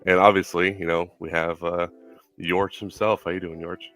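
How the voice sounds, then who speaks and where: A young man hosting a casual Q&A stream, speaking in a relaxed way with many ums and filler words. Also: deep voice, moderate pace, faint music behind. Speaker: stream host.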